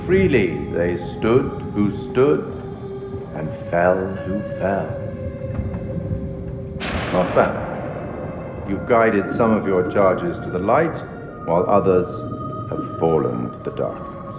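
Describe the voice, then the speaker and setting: A man's voice: low-pitched, very animated, full character voice. An actor playing an enigmatic, sardonic male curator in a video game, speaking formally and theatrically to the player as he judges their choices. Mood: mocking, solemn, then measured, solemn. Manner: measured, formal, wryly sardonic. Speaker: narrator addressing the player. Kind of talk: theatrical scripted game narration. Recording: long hall reverb, music underneath. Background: music.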